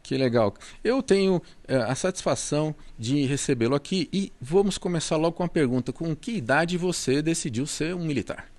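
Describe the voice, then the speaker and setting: Gravelly podcast host asking questions. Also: male voice, on the high side, lively intonation, normal speed, few pauses, a little mellow. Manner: friendly, chatty questions. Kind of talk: casual interview.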